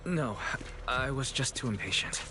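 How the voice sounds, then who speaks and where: A man's voice: mid-range voice, expressive, normal speed, noisy background, lightly acted. An actor playing an earnest, level-headed young man leading a group in an anime game, with a considerate manner. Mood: steady, reassuring.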